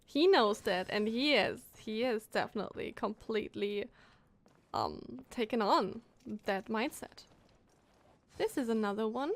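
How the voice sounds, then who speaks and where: A woman's voice: a little high, expressive, a little slow. A woman streaming a game with casual, enthusiastic and reactive commentary.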